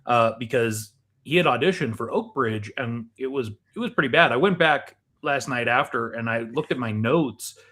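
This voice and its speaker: A man's voice: medium pitch, moderate pace, pushed and bright. A man giving emphatic, instructive advice in a conversational way, as a podcast host who directs and produces.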